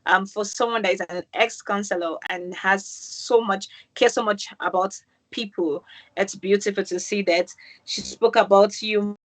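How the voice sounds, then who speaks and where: A woman's voice: medium pitch, fairly even intonation, a little slow, poor audio. A woman, from Manchester, hosting an interview show and effusively praising her guest. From England, Manchester. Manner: effusive, keeps calling things beautiful, many "um"s. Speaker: host. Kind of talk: interview host wrap-up.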